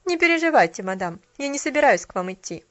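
A woman's voice: on the high side, normal speed, muffled recording, lightly acted. A woman voicing a tired young shop cashier who speaks coolly with a bitter edge. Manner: cool, tired, faintly bitter.

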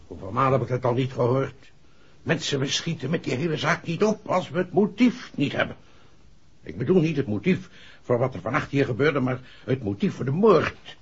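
An actor playing an authoritative, impatient senior police chief in a 1960s Dutch radio play. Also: male voice, mid-range voice, animated, normal speed, husky. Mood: exasperated. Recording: dull, muffled vintage radio recording.

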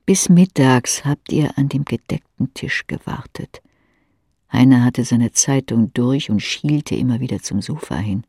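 A woman's voice: a bass voice, unhurried, warm tone, husky, dry recording, studio quality. A woman reading scripted literary narration in the second person for an audio drama.

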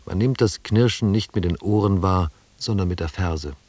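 An actor playing a reflective expedition member, narrating in a literary, descriptive style for an audio drama. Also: male voice, deep voice, moderate pace, a little mellow, no echo. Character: reflective male expedition member recalling the jungle.

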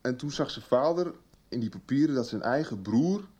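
Chatty young policeman, mellow voice. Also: medium pitch, animated, moderate pace, slightly rough. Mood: eager storytelling. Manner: colloquial, chatty. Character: young policeman son recounting his case to his mother. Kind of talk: 1990s Dutch radio soap acting.

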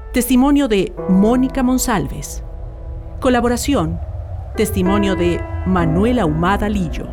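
A Chilean woman narrates a radio documentary drama from a script. Her mid-pitched voice is formal and measured. Music plays clearly underneath.

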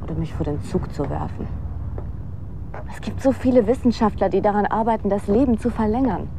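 A woman acting in a German radio drama as a sardonic, world-weary young aspiring actress with a deadpan, cynical delivery. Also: medium pitch, a little fast, natural voice. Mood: weary, morbid. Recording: clear outdoor street and traffic noise. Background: city street ambience with vehicles.

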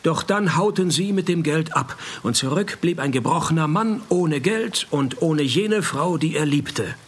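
A man's voice: on the high side, a little slow, husky. An actor playing a dry, sardonic private detective, narrating his case in a radio play. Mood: accusing, sardonic. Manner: deadpan, laconic, sardonic.

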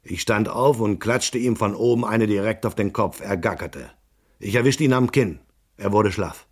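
An actor playing a hard-boiled, laconic detective in a noir radio drama, narrating in a terse, deadpan way. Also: male voice, on the low side, moderate pace, slightly rough. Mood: dry, weary. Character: hard-boiled male private detective, laconic and world-weary.

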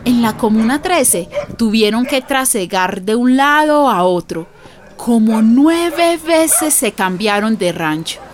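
Vecina colombiana de voz aguda, avispada. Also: female voice, a little high, animated, slow pace. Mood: storytelling. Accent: Colombian, paisa. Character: chatty, resourceful displaced neighbor woman, streetwise and friendly. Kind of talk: radio drama narration and dialogue. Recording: music bed behind the voice.

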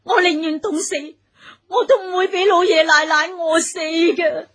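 A woman's voice: extremely high pitch, steady tone, a little slow, a bit bright, exaggerated voice. A woman playing a devoted, desperate young daughter-in-law in a Cantonese radio folk drama, tearful and pleading in a deferential way.

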